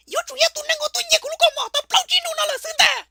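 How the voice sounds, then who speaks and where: A woman voicing an excitable, shrill comic cartoon character with a squeaky, creaky voice, prone to bursting into shouts. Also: rapid-fire, no room sound, soft background music, exaggerated voice. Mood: agitated, shouting.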